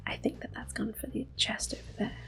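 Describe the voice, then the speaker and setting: A woman giving gentle, soft-spoken ASMR gameplay commentary in a breathy whisper. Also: rumbling low, wildly swooping pitch. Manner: gentle, unhurried. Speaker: gameplay host. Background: soft game music.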